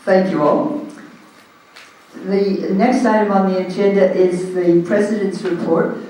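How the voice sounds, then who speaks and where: A man's voice: high-pitched, fairly even intonation, a little slow. Australian man chairing in an echoey hall. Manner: loud, informal, hesitant with frequent ums. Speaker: meeting chair standing in for the president. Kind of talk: chairing a community meeting. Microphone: handheld microphone. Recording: echoey hall, recorded from a distance.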